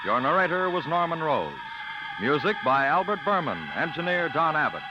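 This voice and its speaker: Formal 1950s radio announcer. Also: male voice, fairly high pitch, very animated, heavy background noise. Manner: formal, measured. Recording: dull 1950 radio broadcast over loud music.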